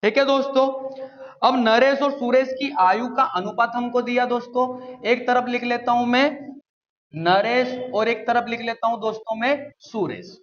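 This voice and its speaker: Male maths tutor, high voice, patient step-by-step explainer, slightly muffled recording. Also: steady tone, normal speed, fairly bright tone. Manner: explains step by step, often asks and answers his own questions; calls viewers "friends" often. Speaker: maths teacher. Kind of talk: exam-prep maths lesson.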